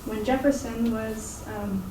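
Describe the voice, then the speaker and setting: A soft-voiced woman from the Midwest giving public comment, reading out a citation. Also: a little high, steady tone, slow. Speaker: resident speaking at public comment. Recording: echoey room, distant mic, clear noise.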